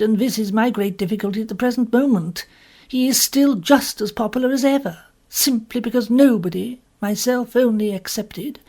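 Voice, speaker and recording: A woman's voice: medium pitch, mellow. A woman reads a first-person short story as an audiobook narrator, in a prim, formal manner.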